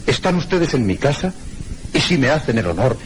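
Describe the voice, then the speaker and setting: Radio drama casting: male actor as enigmatic aristocrat, medium pitch, lively melodic range, courtly manners, faint noise behind. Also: brisk, lightly acted. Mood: suave, self-assured. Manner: courtly, formal. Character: distinguished, gracious, mysterious Spanish duke. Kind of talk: Spanish radio mystery drama acting.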